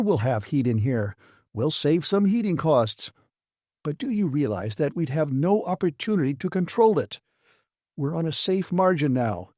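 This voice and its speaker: A defiant, exasperated maintenance chief in a science fiction audiobook, as a man acts him out. He argues bluntly and emphatically in a raised voice, gruff in manner, recorded very dry. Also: on the high side, warm tone, no echo, pitched above the performer's own voice. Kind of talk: dramatised audiobook reading.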